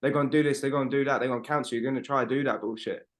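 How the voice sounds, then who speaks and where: A young man in his twenties on a video call from home. He rambles and presses his points hard, swearing often and tossing in "bro" at every turn. His voice sits at an ordinary middle pitch. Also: steady tone, racing. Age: 20s. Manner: rambling, emphatic, swears a lot, fillers like "bro". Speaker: podcast co-host. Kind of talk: casual chat.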